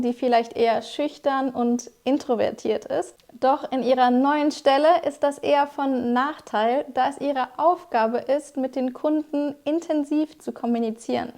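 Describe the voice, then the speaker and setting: A young woman who is a German teacher, explaining vocabulary to viewers in a clear, scripted lesson style. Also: high voice, steady tone, measured pace, hardly any pauses, darkish tone.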